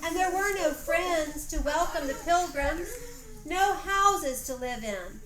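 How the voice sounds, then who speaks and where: A woman narrating a homemade history play like a storybook, reading aloud expressively with deliberate phrasing, in a roomy home recording. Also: very high voice, expressive, a bit bright. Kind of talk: storybook narration for an amateur play, with informal stage directions. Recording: roomy echoey home recording, faint noise.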